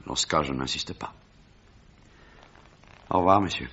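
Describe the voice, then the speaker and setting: An actor playing a blunt, proud big-game hunter and explorer in a French radio drama. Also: male voice, extremely low pitch, unhurried, vocal fry, fairly bright tone, husky, heavily acted. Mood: probing, curt. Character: gruff, imposing bearded lion hunter and African explorer. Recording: dull, muffled vintage radio recording.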